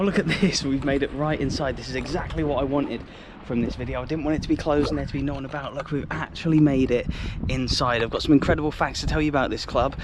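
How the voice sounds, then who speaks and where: Excitable English man vlogging at a stadium. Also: a little high, background noise. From England. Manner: enthusiastic, exclaims often. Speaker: football travel vlogger. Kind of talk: excitable walking vlog to camera. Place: outdoors at a football stadium.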